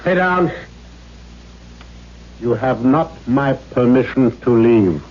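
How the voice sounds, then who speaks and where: Slow, menacing old tyrant father. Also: mid-range voice, expressive. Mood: commanding, menacing. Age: older. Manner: stern, formal, deliberate, menacing. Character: tyrannical, cold, aging father, suspicious and domineering. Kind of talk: 1970s radio drama acting. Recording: dull vintage radio recording.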